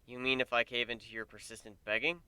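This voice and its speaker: A man voicing a confident, good-humoured, teasing male pony in a story, in a plain, energetic way. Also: mid-range voice, fast talker, clear, resonant tone, no echo.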